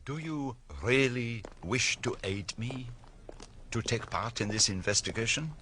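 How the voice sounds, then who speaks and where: A man's voice: medium pitch, expressive, a little slow, pushed and bright, a little hiss or hum. An actor playing a courteous, shrewd foreign detective with a French accent, politely hesitating over his English in a radio drama. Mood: earnest.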